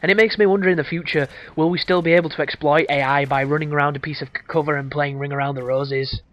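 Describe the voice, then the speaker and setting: A man with a hoarse voice, giving casual, crude, rambling commentary over a game walkthrough. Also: on the high side, fairly even intonation, quick pace. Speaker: gameplay commentator.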